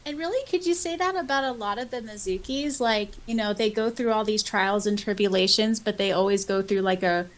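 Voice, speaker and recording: A woman's voice: medium pitch, a little fast, hardly any pauses. A woman co-hosting a podcast, chatting casually and informally about fan topics with a giggly streak. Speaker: podcast co-host. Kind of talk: casual fan discussion, reading listener comments aloud.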